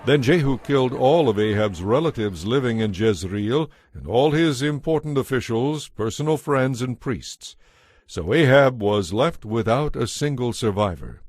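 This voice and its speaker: A man's voice: mid-range voice, lively intonation, moderate pace, darkish tone, no room sound, studio quality. A man giving scripted narration for a dramatized audio Bible.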